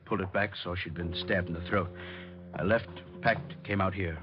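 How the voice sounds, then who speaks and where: A man's voice: sits low, fairly even intonation, normal speed, close to an everyday voice. An actor playing a nervous, evasive doctor and con man with formal, protesting speech, in old radio drama style. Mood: uneasy confession. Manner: indignant, protesting, formal wording. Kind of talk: 1940s-50s radio detective acting. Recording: muffled vintage radio recording, faint noise.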